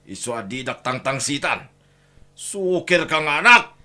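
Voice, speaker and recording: A man's voice: mid-range voice, sing-song, measured pace, pushed and bright, heavily acted. A man acting a swooping, domineering Ilocano husband. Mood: angry, bullying. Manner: gruff, scornful, dismissive. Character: domineering, callous, short-tempered philandering husband and father. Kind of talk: Ilocano radio-style family drama acting.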